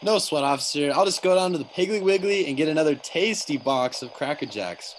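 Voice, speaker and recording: A man's voice: on the high side, lively intonation, a little fast, a little hiss or hum, lightly acted. A man playing a polite, sheepish small-town boy in an old-time radio commercial. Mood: cheerful. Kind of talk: 1930s radio commercial acting.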